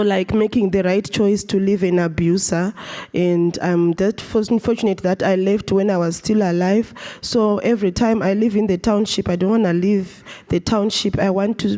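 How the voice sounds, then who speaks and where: A woman from Zimbabwe speaks on stage at a live storytelling night. Her voice is mellow and soft-edged, at an everyday middle pitch. She talks earnestly and often restarts her sentences. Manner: earnest, with frequent restarts and fillers. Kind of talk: personal talk on stage.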